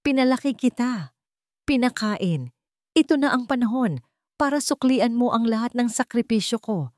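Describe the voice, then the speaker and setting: A woman's voice: a little high, animated, moderate pace, a little mellow, dry recording, clean studio sound, voice pushed up, close to an everyday voice. A woman voicing a harsh, commanding mother who guilt-trips her grown daughter in a radio drama story. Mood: harsh, insistent.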